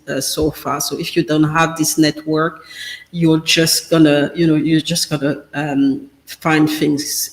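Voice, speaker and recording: A man whose first language is French, answering interview questions in a halting way, full of fillers and restarts. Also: on the high side, roomy sound, poor audio. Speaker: business guest speaker.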